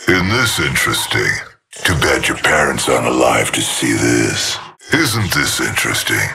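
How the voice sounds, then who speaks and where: Very deep, gravelly robot assassin. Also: male voice, sing-song, pushed and bright, heavily acted. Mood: taunting, sinister. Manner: slow, taunting, sinister drawl. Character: sadistic murderous robotic demon assassin, mocking and menacing. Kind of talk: video game character voice lines.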